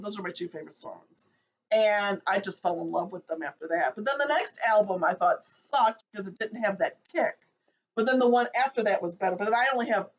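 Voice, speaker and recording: A woman's voice: medium pitch, creaky. A woman in her fifties co-hosting a casual chat show, rambling and joking about herself. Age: 50s. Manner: rambling, jokey, self-deprecating. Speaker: co-host. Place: seated indoors at home. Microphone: phone camera. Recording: dull lo-fi phone recording.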